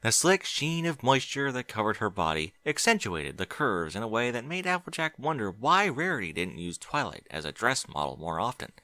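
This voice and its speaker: A man reading scripted fiction as an audiobook narrator, measured and expressive. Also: medium pitch, no echo.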